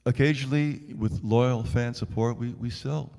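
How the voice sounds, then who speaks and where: American male singer-songwriter, mid-pitched, unhurried halting banter between songs, self-deprecating, reverberant live sound. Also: steady tone, vocal fry, a little mellow. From United States.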